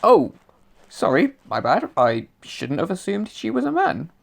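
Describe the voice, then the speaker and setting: A man's voice: sits high, wildly swooping pitch, unhurried, gravelly, full character voice. Man playing pompous ghost novelist. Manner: pompous, dithering. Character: pompous dead male novelist, now a ghost. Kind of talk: amateur audio drama.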